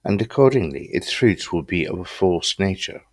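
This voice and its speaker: A man reciting philosophical quotations from a script in a solemn, deliberate manner. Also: deep voice, lively intonation, no room sound. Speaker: reader of quotations.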